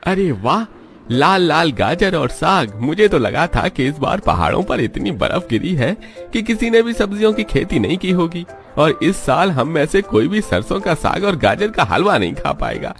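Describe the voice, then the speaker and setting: Man acting a chatty, higher-voiced market customer. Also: sing-song, normal speed. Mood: surprised, suspicious. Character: chatty middle-class male market customer, curious and jovial. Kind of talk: Hindi moral-story cartoon dubbing. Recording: music behind the voice.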